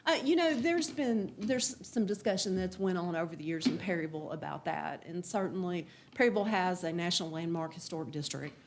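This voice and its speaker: A woman with a big, slightly low voice talks earnestly and folksily to a planning board. She is in a public meeting room, with faint noise under the recording. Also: brisk, few pauses.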